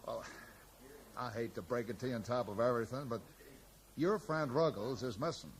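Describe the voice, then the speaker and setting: An actor playing a kindly, middle-aged American small-town sheriff in a radio drama, speaking in a folksy, hesitant way. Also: male voice, mid-range voice, normal speed, lightly acted. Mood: reluctant, sympathetic. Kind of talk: 1980s radio drama acting. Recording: noisy 1980s radio drama recording.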